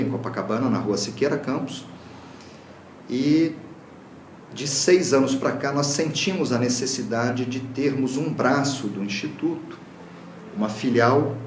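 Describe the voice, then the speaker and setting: A man's voice: mid-range voice, a little mellow, slight background noise. Brazilian man lecturing in an echoey room. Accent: Brazilian Portuguese. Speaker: lecturer, Reiki teacher. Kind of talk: public talk.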